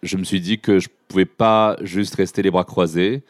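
A man from Morocco speaking as a podcast guest, giving a personal account with hesitant pauses and repeated emphasis. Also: low voice, steady tone, dry recording, clean studio sound. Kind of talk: personal account in a live interview. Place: live public recording before an audience.

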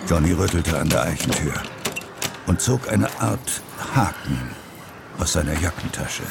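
A man's voice: deep voice, animated, unhurried, gravelly, very noisy. A man narrating a suspenseful audio drama as a storyteller.

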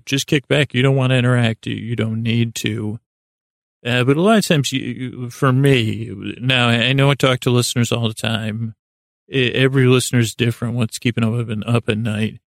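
A man with a creaky, gravelly voice hosting a sleep podcast, delivering a meandering, hesitant, self-deprecating bedtime monologue full of trailing asides. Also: medium pitch, no room sound, clean studio sound. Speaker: podcast host. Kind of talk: rambling bedtime monologue meant to lull listeners to sleep.

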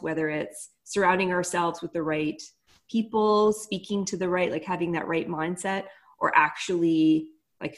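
A woman hosting a casual conversational interview in an encouraging, reflective manner. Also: lowish voice. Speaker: interview host.

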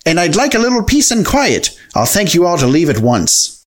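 A man's voice: high voice, huge pitch swings, normal speed, voice pushed up, full character voice. Guy doing a grumpy wee leprechaun, kids storybook, indignant, voice jumping all over. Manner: indignant, quaint old-fashioned phrasing. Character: feisty little old Irish leprechaun man, proud and grumpy. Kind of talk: children's storybook character voice.

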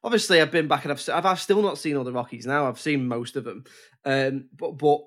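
A man's voice: medium pitch, fairly quick, a bit bright. Guy rambling about a movie on a podcast, lots of ums and restarts, middle voice. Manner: rambling, hesitant with many restarts. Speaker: podcast co-host. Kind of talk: casual chat.

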